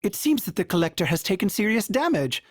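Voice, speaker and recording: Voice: medium pitch, big pitch swings, fast, slightly rough, dry recording, clean studio sound. An actor voicing a cheerful, fussy male ship AI who speaks formally, calls himself by name and stutters with glitchy repeats. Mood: fretful. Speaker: ship companion AI. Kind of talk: video game voice lines.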